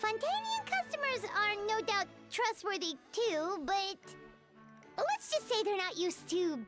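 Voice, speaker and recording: A woman voicing a tiny, chirpy, earnest female fairy companion with a squeaky childlike voice in a video game. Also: big pitch swings, unhurried, exaggerated voice. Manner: earnest, explanatory. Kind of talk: animated video game voice acting. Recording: game audio under loud music.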